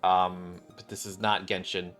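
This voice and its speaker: Casual rambling game streamer over soft music. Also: low voice, little pitch movement, measured pace. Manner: rambling, joking. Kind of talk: casual live commentary and story reading.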